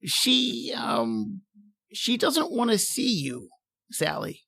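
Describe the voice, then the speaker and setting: An actress playing a tactful family doctor in an old-time radio drama, with a polite, hesitant manner. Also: female voice, fairly high pitch, big pitch swings, slow, creaky, a bit bright, slightly rough, dry recording, full character voice. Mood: awkward tact.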